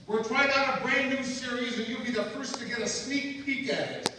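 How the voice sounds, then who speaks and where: Scatterbrained, excitable male announcer acted by a man, unusually high voice, old radio comedy, distant in a big reverberant hall. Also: normal speed. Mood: flustered, eager. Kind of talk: 1940s radio comedy acting. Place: college stage performance in a large hall. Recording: echoey hall from far off, noisy.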